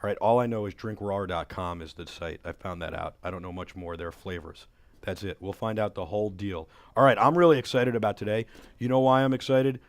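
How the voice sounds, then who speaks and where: A man in his thirties hosting a talk show in a studio, hoarse from a cold, talking with upbeat energy into a headset mic. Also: on the low side, fairly quick. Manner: energetic, enthusiastic promo patter. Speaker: host. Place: studio with city backdrop.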